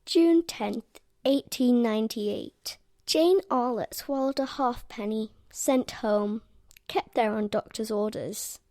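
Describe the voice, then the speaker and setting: A woman in a radio play reading terse school logbook entries in a gravelly, unhurried way. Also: fairly high pitch, warm tone, no echo, clean studio sound. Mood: matter-of-fact.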